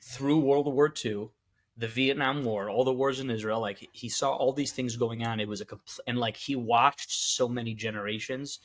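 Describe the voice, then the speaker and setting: A man's voice: mid-range voice, fairly even intonation, normal speed, fairly bright tone. A young man co-hosting a podcast, speaking reflectively and hesitantly in casual chat. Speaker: podcast co-host.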